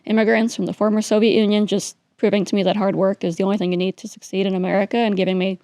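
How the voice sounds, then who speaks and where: A woman's voice: medium pitch, little pitch movement, mellow, no echo. A young woman from New York City, a Russian native speaker, telling her story as an interview guest, chatty and full of "like" and "um". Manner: chatty, quick, peppered with "like" and "um".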